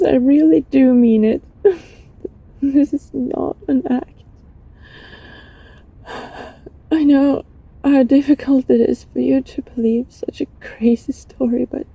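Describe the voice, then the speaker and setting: A woman playing a lovesick, desperately devoted former bully from the future in an intimate audio roleplay, speaking haltingly and emotionally in a soft, husky voice. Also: high voice, exaggerated voice. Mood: pleading, loving. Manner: halting, emotional, breathy sighs and stammers.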